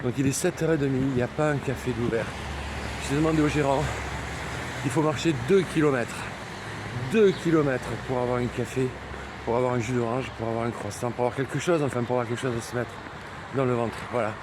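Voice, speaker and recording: A man's voice: mid-range voice, brisk. Male hiking vlogger, teasing and playful, wind-battered outdoor mic. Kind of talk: joking travel vlog to camera. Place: outdoors on a coastal pilgrimage trail.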